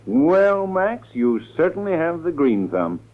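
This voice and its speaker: Animated, calculating politician on old radio. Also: male voice, fairly high pitch, measured pace. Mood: falsely genial. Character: scheming, ambitious male district attorney, falsely affable. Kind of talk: 1940s radio acting. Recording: tinny 1940s radio recording.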